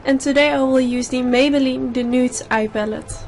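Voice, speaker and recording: A young woman with a slightly hoarse voice from a sore throat, calmly explaining each step of a makeup tutorial in a voice-over. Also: sits high, moderate pace, slight background noise. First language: Dutch. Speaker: makeup YouTuber.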